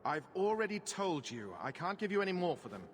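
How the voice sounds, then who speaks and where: A man's voice: high voice, big pitch swings, a little fast, slight background noise. An actor playing a self-serving merchant in a video game, unctuous and defensive in his talk. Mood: placating. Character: slick, self-serving, apologetic male merchant. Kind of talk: video game dialogue acting.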